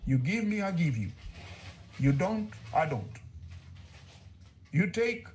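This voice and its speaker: A man speaks in a high, swooping voice at a conference. His first language is Ngomienne, and his speech is oratorical and sweeping, with faint hiss in the background. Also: very animated, slow, darkish tone.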